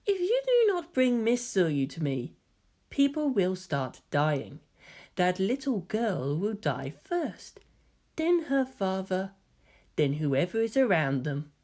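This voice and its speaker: A woman voicing a coy, androgynous hybrid youth with genteel, gently teasing politeness and quiet menace. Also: medium pitch, big pitch swings, slow. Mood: softly menacing.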